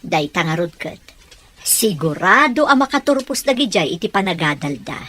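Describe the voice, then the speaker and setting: An actress playing a caring middle-aged aunt in a radio drama, speaking in a kindly and reassuring way. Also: female voice, lowish voice, big pitch swings, normal speed, fairly bright tone.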